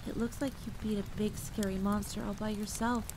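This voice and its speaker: A woman voicing a gentle but commanding female field medic with a soft voice in an audio story. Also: mid-range voice, measured pace, close to an everyday voice. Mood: reassuring. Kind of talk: acted audio story dialogue. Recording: rain noise behind.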